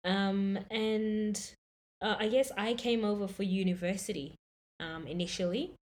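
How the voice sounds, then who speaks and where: A woman in her thirties from Zimbabwe, talking in a relaxed, reflective way on a casual podcast. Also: mid-range voice, a little slow. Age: 30s. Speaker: podcast guest.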